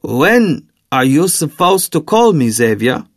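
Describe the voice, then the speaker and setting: A man voicing a tall, sly villain in an audiobook, with a soft German accent and a coaxing, theatrical, deliberately courteous delivery. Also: a little high, big pitch swings, vocal fry, no room sound, studio quality, voice pushed up, exaggerated voice. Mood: impatient, insistent.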